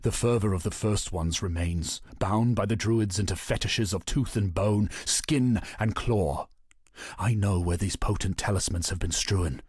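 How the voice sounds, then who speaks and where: A male actor playing a proud, grim warrior king turned wolf-god in a video game, declaiming solemnly with a gravelly, husky voice. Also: on the low side, darkish tone, no echo, studio quality. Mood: feral, resolute. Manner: solemn, declamatory, deliberate oratory. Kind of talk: dramatic scripted game monologue.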